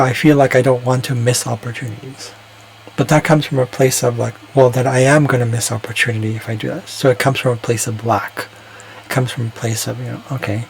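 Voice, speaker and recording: A man's voice: medium pitch, a little hiss or hum, poor audio. A man talking alone in a casual, reflective monologue, rambling and hesitant, often restarting his sentences. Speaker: vlogger.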